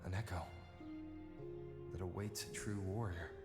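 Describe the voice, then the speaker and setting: Low-voiced calm game hero. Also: male voice, unhurried. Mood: calm musing. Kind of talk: scripted game voice acting. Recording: game audio under loud music.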